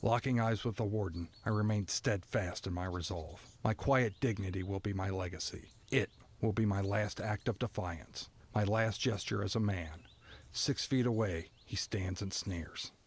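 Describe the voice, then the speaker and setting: A man playing a stoic, resigned prisoner who narrates an audio drama in a somber, deliberate, poetic storytelling manner. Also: fairly low pitch, a little hiss or hum, lightly acted. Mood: stoic, detached. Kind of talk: scripted first-person dramatic narration.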